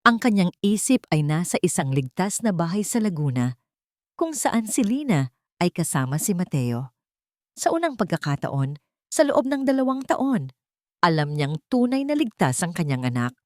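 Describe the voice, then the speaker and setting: A woman with a Filipino accent narrating a scripted dramatic story in measured, dramatic phrases. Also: on the low side, big pitch swings, dry recording, studio quality.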